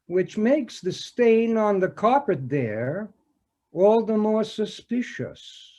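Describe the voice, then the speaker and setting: A man plays a police detective in a comic readers theater sketch. He is formal and pompous, very slow and deliberate, with pitch that wanders widely as he picks out his wordy, hesitant phrases. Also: expressive, darkish tone, heavily acted. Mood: probing, dry.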